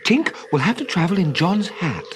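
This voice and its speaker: A man's voice: high-pitched, big pitch swings, moderate pace, background noise, voice pushed up. A man voicing a bold boy adventurer in a children's storybook, speaking with urgent emphasis. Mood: urgent warning. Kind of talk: dramatised children's storybook reading.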